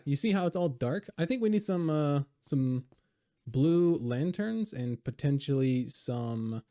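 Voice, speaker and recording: A man talking casually over gameplay, hoarse and a little raspy from being sick, rambling with lots of fillers. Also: fairly high pitch, a little slow. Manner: relaxed, rambling, many fillers. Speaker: game video creator.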